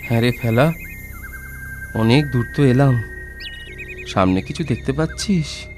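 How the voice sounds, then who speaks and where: A man's voice: medium pitch, measured pace, music in the background, natural voice. A man playing a poor, grateful but bold wanderer in a children's cartoon fairy tale, speaking earnestly and expressively.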